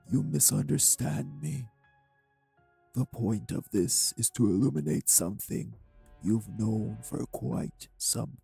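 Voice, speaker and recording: A man playing a cold, manipulative vampire villain in an audio roleplay, speaking with deliberate, condescending menace in a husky, gravelly voice. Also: mid-range voice, warm tone, exaggerated voice. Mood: cold, insinuating. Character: cold, manipulative male vampire taunting his captive. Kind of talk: dramatic audio roleplay acting.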